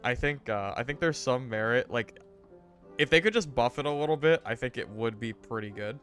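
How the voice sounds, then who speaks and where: A man's voice: medium pitch, moderate pace, soft background music. A man streaming a game, chatting casually with viewers while he recovers from being sick, his voice a bit worn. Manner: rambling, relaxed, greets chat viewers. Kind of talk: casual gaming stream chat.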